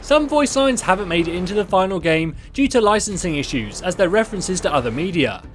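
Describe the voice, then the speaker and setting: A man's voice: high voice, big pitch swings, brisk, background noise. A man hosting and narrating a scripted video. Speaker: host and narrator.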